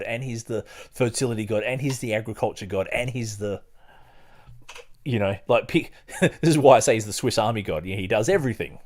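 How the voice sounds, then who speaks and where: A man with a fairly low voice hosts a podcast. He speaks in a casual, discursive way, feeling his way through phrases and sometimes reading aloud. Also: darkish tone. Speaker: podcast host.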